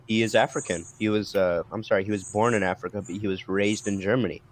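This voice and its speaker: A man in a casual group debate, challenging others in a methodical, halting way on a noisy recording. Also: on the low side, steady tone.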